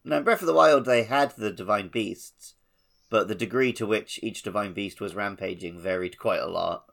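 A man's voice: fairly low pitch, moderate pace, creaky, a bit bright. A man co-hosting a podcast, talking casually about a video game. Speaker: podcast co-host.

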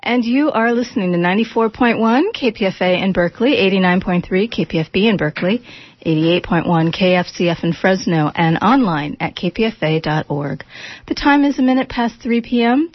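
A woman's voice: mid-range voice, fairly quick, no echo. Lady reading the station id, very dry close sound, a bit muffled. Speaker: station announcer. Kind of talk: station identification. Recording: dry dull lo-fi broadcast.

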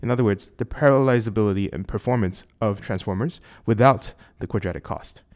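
Man with a Singaporean accent, lowish voice, articulate podcast host, reading a prepared intro. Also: fairly quick, a little mellow. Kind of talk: scripted intro then casual interview. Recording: lower quality recording outside a studio.

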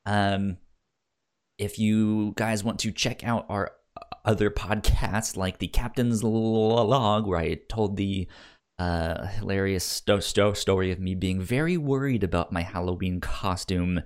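A man's voice: lowish voice, a little slow. A man co-hosting a podcast in casual review chat, often stammering and repeating words and syllables. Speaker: podcast co-host.

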